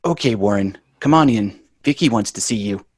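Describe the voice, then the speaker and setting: A man's voice: lowish voice, animated, moderate pace, husky, dry recording. Man playing a dry, exasperated schoolboy, closely miked. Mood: scheming. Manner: dry, sarcastic, bossy. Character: exasperated, wisecracking schoolboy big brother. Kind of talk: live sitcom table read.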